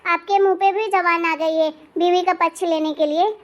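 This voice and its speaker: A woman plays a young married daughter in a cartoon family drama, extremely high in pitch. She is hot-tempered and sulky, with a sharp, demanding tongue, and stays in a narrow band of notes. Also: steady tone, normal speed. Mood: angry, indignant. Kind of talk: animated family drama dialogue.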